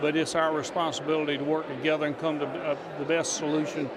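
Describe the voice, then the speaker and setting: A male state senator, answering an interview in a measured, diplomatic way. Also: on the high side, flat delivery, crowd noise. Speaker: state senator, party leader. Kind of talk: political interview response. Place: large indoor statehouse lobby. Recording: noisy public lobby ambience.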